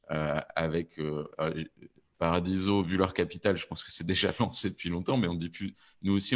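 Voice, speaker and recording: A man who works as an audio fiction producer, explaining on a panel and haltingly repeating words as he searches for phrasing. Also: low-pitched.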